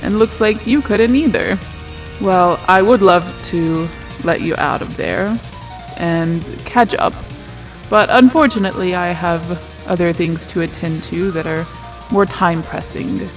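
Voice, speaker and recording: A man's voice: high voice, a little slow, faint music behind. A man playing a smug, mock-friendly phantom villain in a tabletop roleplay podcast, taunting with cool menace.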